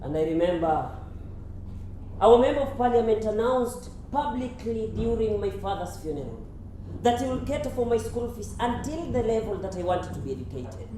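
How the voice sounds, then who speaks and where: A man's voice: high voice, sing-song, normal speed, mellow. Troubled young kenyan student played by a guy in a stage play, polite, super echoey, bit of noise. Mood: grateful, somber. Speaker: stage actor. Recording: very echoey hall, faint noise.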